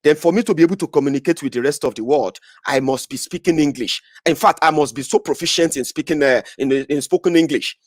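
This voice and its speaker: A man's voice: a little high, fast, few pauses, no echo, clean studio sound. A Nigerian man giving an emphatic political monologue, repeating phrases for effect. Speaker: political commentator. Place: Scotland, indoors.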